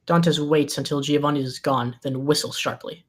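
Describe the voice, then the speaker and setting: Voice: medium pitch, steady tone, normal speed. Screenplay narrator reading stage directions. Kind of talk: scripted narration at a screenplay table read.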